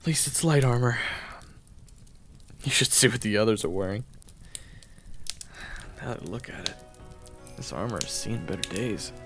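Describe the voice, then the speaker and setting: A man performing a first-person audio roleplay, narrating and talking to the listener, often stammering and flustered, sometimes whispering and sometimes yelling. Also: on the low side, lively intonation, normal speed, creaky, long pauses. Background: video game soundtrack music.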